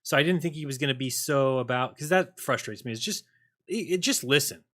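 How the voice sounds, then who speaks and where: A man's voice: mid-range voice, brisk. A man hosting a call-in show, chatting casually with callers and making sarcastic jokes. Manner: animated, joking, sarcastic asides. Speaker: host.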